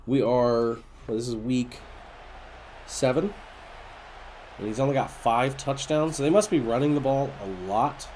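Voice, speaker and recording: A man with a raspy, nasal, congested voice giving casual commentary on a video game as host. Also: medium pitch, measured pace, long pauses, darkish tone, slight background noise. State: congested after being sick.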